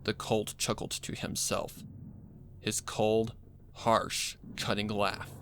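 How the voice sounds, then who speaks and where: A man's voice: lowish voice, level tone, takes it slowly, a bit bright, slight background noise. A man reading a scripted horror story as narrator, with music playing behind him. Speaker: fan fiction narrator.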